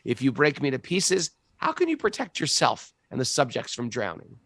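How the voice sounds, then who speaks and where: A man reading aloud the lines of a frightened earth goddess in cow form, in a plain, earnest voice with a gravelly edge. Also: mid-range voice, wildly swooping pitch, normal speed, fairly bright tone, dry recording, full character voice. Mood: pleading.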